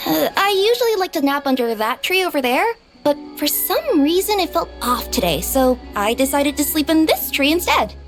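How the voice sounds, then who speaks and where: A very high, highly animated young cat yokai girl for a video game. She is friendly and a little drowsy, speaking politely and hesitantly. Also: moderate pace, few pauses, faint music behind. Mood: hesitant, helpful.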